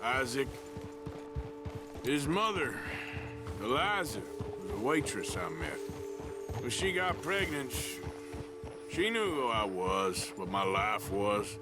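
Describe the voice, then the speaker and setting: An actor playing a weary, gravely ill outlaw cowboy in a video game, talking in a gruff, plain-spoken drawl, slow and reflective. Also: male voice, mid-range voice, big pitch swings, over loud music. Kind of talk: video game cutscene dialogue. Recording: loud orchestral game score behind.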